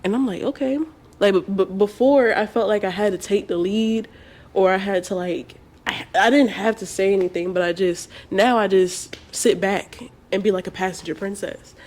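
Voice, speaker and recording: Woman in her twenties, casual couple chat, slangy, animated storyteller. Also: medium pitch, moderate pace. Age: 20s. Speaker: co-host.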